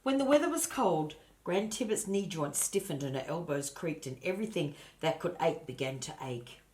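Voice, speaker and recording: A woman's voice: fairly low pitch, lively intonation, moderate pace, a little mellow. An older woman narrating a children's storybook expressively, in a grandmotherly way and addressing her listeners. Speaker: storybook reader.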